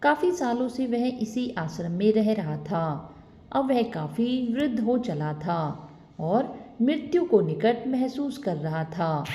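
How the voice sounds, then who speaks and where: A woman narrating a moral story in a slow, expressive storytelling manner. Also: mid-range voice. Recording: echoey reverberant room.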